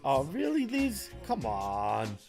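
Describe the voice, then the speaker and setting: A man's voice: medium pitch, huge pitch swings, slow pace, vocal fry, over music. A man from Australia with an Australian accent, co-hosting a casual late-night wrestling podcast, laid-back and laughing often, swearing freely. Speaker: podcast co-host.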